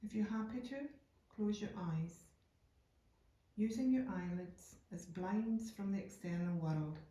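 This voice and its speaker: A woman guiding a yoga nidra meditation in a calm, unhurried, soothing way, leaving deliberate pauses between phrases. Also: mid-range voice. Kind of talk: slow guided meditation narration. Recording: echoey room, distant from microphone.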